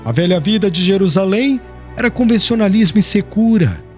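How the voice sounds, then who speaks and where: A male narrator reads a spiritual novel in a measured, expressive way. His voice sits a little high and moves animatedly through each sentence. Soft music runs faintly underneath. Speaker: audiobook narrator. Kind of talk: scripted audiobook narration.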